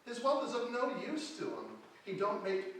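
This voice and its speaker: A man's voice: high voice, huge pitch swings, normal speed, a bit bright, full character voice. A man playing a good-natured, cheerful nephew, chatty and forgiving, in staged reader's theatre. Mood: amused. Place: indoor hall stage with seated audience. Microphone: far camera. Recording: distant, noisy, echoey hall recording.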